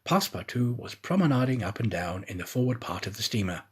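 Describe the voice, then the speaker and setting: Animated, husky male storybook narrator. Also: on the low side, quick pace. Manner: theatrical, expressive. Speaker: audiobook narrator, actor. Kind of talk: dramatic scripted storybook narration.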